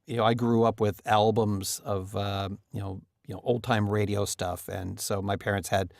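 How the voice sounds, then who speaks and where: A man's voice: lowish voice, level tone, moderate pace, vocal fry, darkish tone, no echo, clean studio sound. A man chatting casually in an interview, effusive and feeling his way through his thoughts. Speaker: audio drama director being interviewed.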